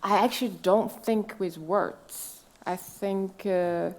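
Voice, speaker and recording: A woman's voice: mid-range voice, steady tone, slow pace, a crowd in the background. A woman speaking in a casual podcast conversation, thoughtful and searching for the right words. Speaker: designer guest.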